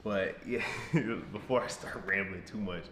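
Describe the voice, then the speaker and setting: A man in his mid-twenties, talking casually to camera as a vlogger, rambling and repeating himself in a relaxed way. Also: deep voice, unhurried. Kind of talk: casual vlog talk to camera. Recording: echoey room with background noise.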